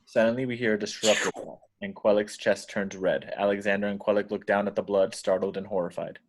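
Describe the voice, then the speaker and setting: A man narrates the action of a screenplay. His voice is on the low side, and he reads stage directions as scripted narration for a table read. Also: fairly even intonation, a little fast, poor audio.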